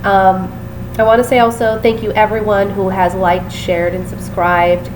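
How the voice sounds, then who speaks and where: A woman's voice: lowish voice, noisy background. A woman with a sinus infection giving a casual tarot reading to camera, sounding stuffy and nasal, apologetic and chatty with many fillers. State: sick with a sinus infection, sniffly. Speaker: tarot reader.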